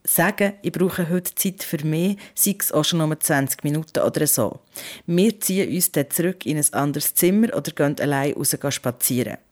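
A woman's voice: sits low, moderate pace, a little mellow. A woman with a Swiss German accent hosting a podcast in a conversational narrating style. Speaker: host.

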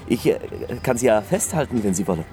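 An actor playing a shy, self-deprecating young private detective in a radio crime drama, speaking hesitantly with stammers. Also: male voice, fairly low pitch, sing-song, fast, warm tone. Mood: nervous, flustered.

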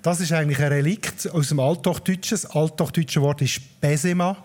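A man's voice: fairly high pitch, fairly even intonation, fairly quick, darkish tone. A man with a Swiss German accent, a dialect expert explaining word origins in a radio conversation. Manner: explanatory, lecturing on word history.

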